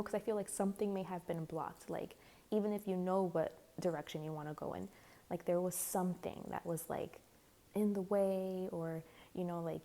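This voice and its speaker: Slightly hoarse, mellow-voiced woman. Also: fairly low pitch. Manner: rambling, full of "like" and "you know". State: slightly hoarse, losing her voice. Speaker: tarot reader. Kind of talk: casual tarot reading to camera.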